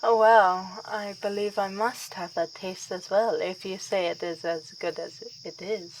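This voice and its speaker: A woman's voice: medium pitch, fairly even intonation, unhurried, noisy background, lightly acted. A woman playing a young vampire princess in an ASMR roleplay, with formal, theatrical, teasingly menacing speech. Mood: pleased, soothing. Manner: theatrical, formal, courtly phrasing.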